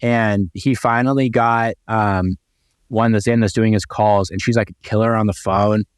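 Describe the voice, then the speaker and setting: Podcast dude, lowish voice, chill chatty vibe, dry sound. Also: male voice, fairly even intonation, normal speed, smooth, no room sound. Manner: casual and upbeat, many fillers and restarts. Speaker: podcast co-host. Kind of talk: casual podcast chat.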